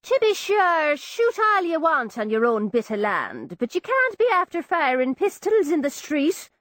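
A woman's voice: sits high, huge pitch swings, unhurried, fairly bright tone, no room sound, voice pushed up, exaggerated voice. A woman voicing a genial male Irish constable, lilting and coaxing. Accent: Irish, put on.

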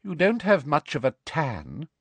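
Officious woman inspector, played by male reader: high-pitched, prim, sugary-cold, pompous, for storybook. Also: wildly swooping pitch, unhurried, fairly bright tone, slightly rough, no room sound, exaggerated voice. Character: coldly officious, falsely sweet woman school inspector.

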